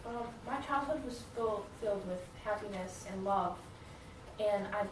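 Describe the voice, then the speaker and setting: A woman's voice: mid-range voice, moderate pace, close to an everyday voice. Distant, echoey woman playing a tentative daughter. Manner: tentative, brief answers. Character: hesitant, questioning daughter in family therapy. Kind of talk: role-played therapy session. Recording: very echoey room, distant mic, clear background noise.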